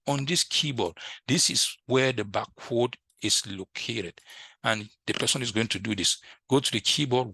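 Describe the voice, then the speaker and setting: Guy doing a computer tutorial, bright forceful voice, super dry sound, repeats himself, says right? a lot. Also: medium pitch, dry recording. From French-speaking country, moved to the US. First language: French. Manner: repetitive, instructive, often checks with "right?". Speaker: IT instructor. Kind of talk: informal screen-share tutorial.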